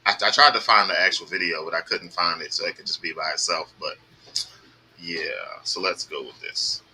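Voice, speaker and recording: A man's voice: deep voice, normal speed, sharp tone, a little hiss or hum. A man hosting a podcast in casual chat, loose and joking, talking over his guests. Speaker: podcast host.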